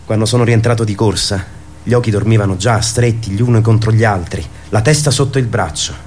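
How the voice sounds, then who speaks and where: A man acts out an excitable young boy in a radio drama. He is earnest and eager, a dreamer set on flying. The recording has clear background noise. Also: fairly low pitch, fairly quick, natural voice. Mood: excited, nervous. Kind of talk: radio theatre acting.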